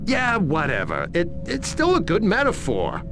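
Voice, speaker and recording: An actor playing a sarcastic, flippant, slightly dim interrogator in a video game cutscene. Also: male voice, high voice, huge pitch swings, normal speed, music in the background, exaggerated voice.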